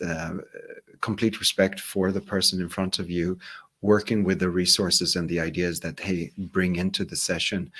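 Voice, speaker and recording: A Swedish-accented man with a very low, deep voice speaks in a relaxed interview. He is calm and reflective, and he often circles back over his words as he thinks aloud. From Sweden. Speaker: hypnotherapist guest. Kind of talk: casual interview conversation.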